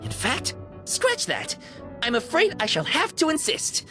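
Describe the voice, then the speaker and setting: A bubbly, courteous toy concierge, swooping intonation. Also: female voice, on the high side, wildly swooping pitch, measured pace, pushed and bright, faint music behind, exaggerated voice. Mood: enraptured, theatrical. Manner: courteous, theatrical, effusive. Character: polite, bubbly living stuffed toy concierge. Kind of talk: scripted video game character acting.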